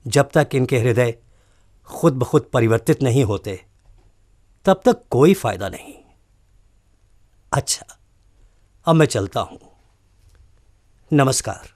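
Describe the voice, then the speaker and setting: Man acting an earnest, slow-speaking college principal. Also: medium pitch, lively intonation, a little mellow. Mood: earnest, conciliatory. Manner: emphatic rhetorical questioning with deliberate pauses. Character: earnest, non-violent college principal, pleading and reproachful. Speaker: actor. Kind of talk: radio drama acting.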